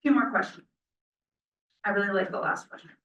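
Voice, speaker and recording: A woman moderator briskly reading out audience questions to the panel. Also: fairly bright tone. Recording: dull distant echoey sound.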